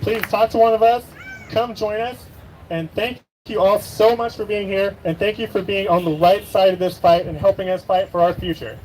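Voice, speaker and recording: A man rallies an outdoor crowd with urgency, his voice set high for a man. Faint street noise sits behind his speech. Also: steady tone, normal speed. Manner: rousing, urgent call to action. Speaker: activist organizer. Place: outdoor rally.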